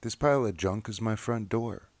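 A man's voice: fairly low pitch, normal speed, darkish tone, no room sound, voice pushed up, close to an everyday voice. A man reading aloud and voicing a messy, cheerful male pack rat in a storybook, in a chatty, breezy way.

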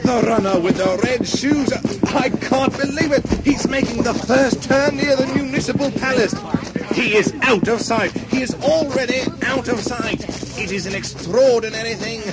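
An awestruck male race announcer in a radio drama. His voice sits very high as he calls the race live with mounting excitement. Music plays behind him. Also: normal speed, rough recording.

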